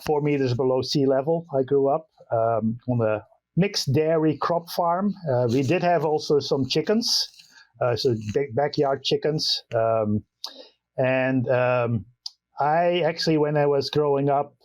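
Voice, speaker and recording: An older man from the Netherlands with a Dutch accent, a professor answering interview questions in an unhurried way with many fillers and restarts. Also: medium pitch, a little mellow, no room sound. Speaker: guest professor of animal science.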